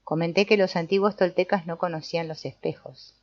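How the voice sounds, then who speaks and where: A woman narrating an audiobook in a steady, measured reading. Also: lowish voice, dry recording. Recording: dull lo-fi dry recording.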